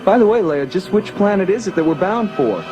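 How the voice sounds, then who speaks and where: A man's voice: high voice, expressive, a little fast. An actor playing an eager, earnest young rebel pilot who teases his friends. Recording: dull lo-fi 1980s record with music.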